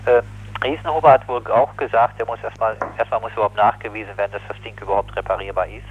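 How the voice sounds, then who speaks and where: A man speaking as an activist in a spontaneous political interview, critical and opinionated, halting and correcting himself as he goes. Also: lowish voice, steady tone, slight background noise. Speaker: anti-nuclear activist interviewee. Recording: muffled, lo-fi 1980s radio recording.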